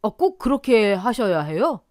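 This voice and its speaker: A nervous, evasive real estate broker, read by a woman narrator, hesitating between words in a lively, fairly high voice. The recording is dry and close. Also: unhurried, no room sound.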